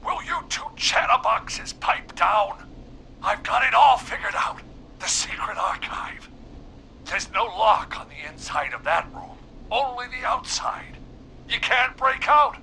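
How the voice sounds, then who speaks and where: A man voicing an excitable, nervous newspaper editor in a video game, with a fussy, agitated, blustering manner. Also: sits high, a little slow, gravelly, a little hiss or hum, full character voice. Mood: agitated, scheming.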